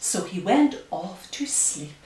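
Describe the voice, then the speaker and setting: A woman librarian speaking at story time for young children, with careful, deliberate phrasing and pauses. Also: lowish voice, big pitch swings, mellow. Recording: roomy, distant, faint hiss.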